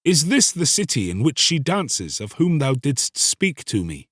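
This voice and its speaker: A man's voice: fairly high pitch, big pitch swings, measured pace, fairly bright tone, husky, dry recording, clean studio sound, voice pushed up, full character voice. A man voicing a young, lovelorn fisherman in a storybook, earnest and troubled, with questioning, archaic speech. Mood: earnest longing. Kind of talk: dramatised storybook reading.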